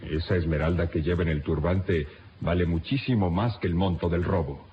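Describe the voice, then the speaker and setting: A man's voice: low-pitched, lightly acted. An actor playing a dutiful, incredulous police captain in a radio serial, formal and insistent as he questions a prisoner. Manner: insistent, formal, halting with disbelief. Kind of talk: old radio serial acting. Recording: dull, noisy old radio recording.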